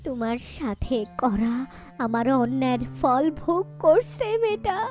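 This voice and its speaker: A woman's voice: high-pitched, unhurried, a little mellow, soft background music. A woman playing a sorrowful elderly widowed aunt in a cartoon story, pleading plaintively.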